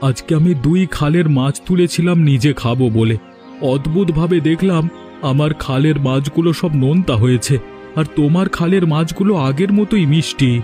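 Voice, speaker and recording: A man's voice: a little high, a little fast, mellow, lightly acted. A man voicing a puzzled, earnest fish farmer. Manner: exclamatory, earnest. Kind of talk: dubbed cartoon dialogue. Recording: music in the background.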